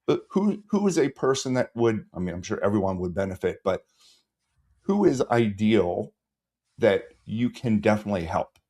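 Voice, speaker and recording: A man's voice: on the low side, big pitch swings, moderate pace. A man hosting a podcast interview, earnest and emphatic, who coaches volleyball. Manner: earnest, builds to loud emphatic storytelling. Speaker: podcast host. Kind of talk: conversational interview.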